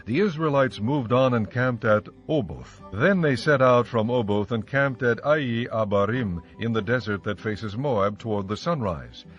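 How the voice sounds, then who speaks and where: A man narrating scripture from a script in a solemn, measured way. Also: mid-range voice. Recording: slightly muffled studio track with music.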